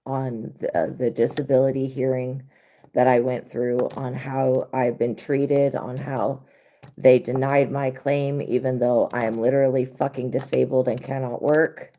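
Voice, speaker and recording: A woman tarot reader hosting a live stream in a casual monologue, with a hoarse, worn-out voice and a blunt, profane way of talking. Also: rumbling low, level tone, unhurried, mellow. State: hoarse, lost voice.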